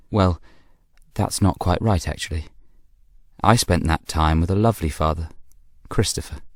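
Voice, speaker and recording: A man's voice: low voice, no room sound, studio quality. An actor with a British accent playing a self-assured, scheming young man, confiding his story in a radio drama monologue with arch, knowing asides. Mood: wry, introductory.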